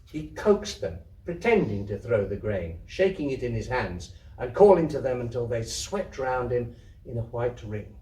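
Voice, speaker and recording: A man narrates a literary story with theatrical expression. His mid-range voice moves up and down in a lively way. It sounds roomy, picked up from some distance in an indoor venue. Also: mid-range voice, moderate pace. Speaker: story reader, theatre troupe member. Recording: echoey room, distant microphone.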